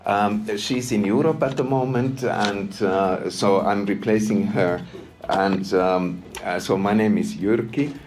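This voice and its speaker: A deep-voiced man with a Finnish accent gives a formal speech, pausing often and repeating words as he searches for them. Faint music plays behind him. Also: steady tone, a little mellow. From Finland. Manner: halting, many ums and repeated words. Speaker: EU delegation official giving a speech. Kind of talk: hesitant formal launch speech.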